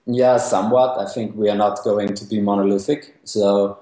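A young man from Austria with a very low voice, explaining technical work in a casual interview. He hesitates often, restarting sentences and filling pauses. The recording carries a noticeable echo of the room. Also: steady tone, warm tone. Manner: hesitant, many restarts and fillers. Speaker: guest developer. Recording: echoey room.

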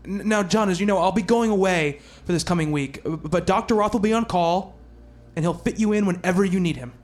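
A man playing an earnest psychiatrist who narrates his own story in a radio play, in a measured, gentle, literary manner. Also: sits high, natural voice. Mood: gentle, reassuring.

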